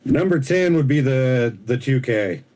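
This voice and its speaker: A middle-aged man in casual podcast chat as a guest artist, talking in a relaxed, rambling way. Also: mid-range voice, measured pace, reverberant.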